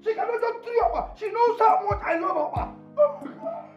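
An actor with a Nigerian accent playing an excitable, fickle friend in a comic film, exclaiming, repeating himself and stammering. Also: male voice, high voice, moderate pace, gravelly, roomy sound, highs cut off, soft background music, full character voice. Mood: outraged, frantic. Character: excitable, food-loving male friend, comically fickle peacemaker. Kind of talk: broad comic film acting.

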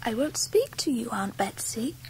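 A woman's voice: on the high side, very animated, moderate pace, slight background noise, poor audio. An actress playing a childlike, frail, teasing young English wife in a radio drama, girlish and playful. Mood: playful mock scolding. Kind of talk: 1990s radio drama acting.

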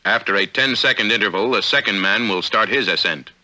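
An American man reading formal, measured, authoritative narration for a 1950s instructional film, on an old film soundtrack. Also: fairly low pitch, sharp tone, poor audio. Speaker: narrator of a military training film.

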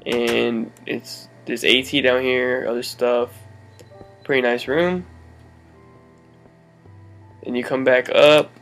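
A man's voice: medium pitch, steady tone, slow, long pauses, fairly bright tone, faint music behind. Laid-back young man touring his game world. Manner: rambling, laid-back, many fillers and laughs. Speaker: gaming channel host. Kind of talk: casual game walkthrough commentary.

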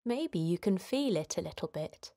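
A woman leading a guided relaxation for children in a gentle, soothing manner, giving simple instructions with pauses. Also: on the low side, lively intonation. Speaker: children's guided meditation host.

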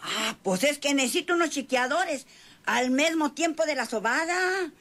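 A woman's voice: high-pitched, animated, normal speed, a bit bright. A woman acting a sassy, teasing ranch servant and folk healer with a rural northern Mexican accent, in an old-fashioned radio drama. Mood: sly, teasing.

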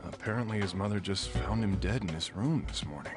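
A man's voice: deep voice, fairly quick, over loud music, close to an everyday voice. An actor playing a blunt, casual, teasing bachelor friend in his thirties in a video game cutscene. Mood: grave. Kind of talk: conversational game cutscene acting.

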